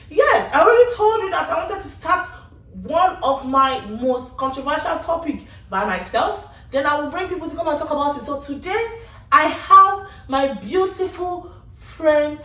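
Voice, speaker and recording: Lady hosting her chat show, bubbly and singsongy, kinda high voice, roomy echo. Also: bright, forceful tone. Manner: exuberant, sing-song, laughs often. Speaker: talk show host. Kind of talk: casual chat. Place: indoor room with a sofa. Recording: echoey room, distant mic, faint hiss.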